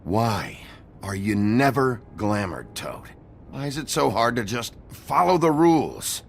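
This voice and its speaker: An actor playing a gruff, stern, weary sheriff of fairy-tale folk in a video game, terse and blunt with a gravelly voice. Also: male voice, mid-range voice, big pitch swings, unhurried, a little hiss or hum. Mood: irritated.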